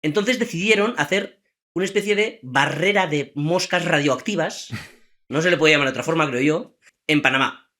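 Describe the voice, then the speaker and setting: A man with a somewhat high voice hosts a trivia podcast. He tells the story in a casual, lively way and keeps turning to the listeners with rhetorical questions. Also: normal speed.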